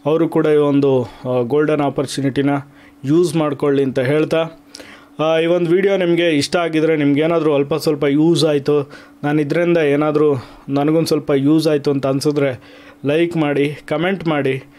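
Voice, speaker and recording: A man's voice: a little high, steady tone, normal speed, darkish tone. A man from Karnataka hosting an online video, explaining things to viewers step by step in a casual, conversational way.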